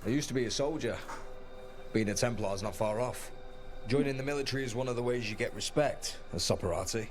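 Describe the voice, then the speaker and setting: An actor playing a guarded, self-deprecating soldier turned clerk in a video game, with a wry, understated delivery. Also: male voice, mid-range voice, brisk, music in the background, close to an everyday voice. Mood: wistful, weary.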